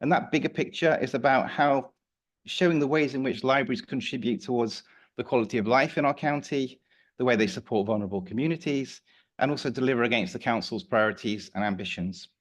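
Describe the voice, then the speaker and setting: A man with a slightly croaky voice giving a formal report to a council committee, measured and a little hesitant. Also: medium pitch. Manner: measured, with some fillers and restarts. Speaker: council officer presenting a report. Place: council meeting room.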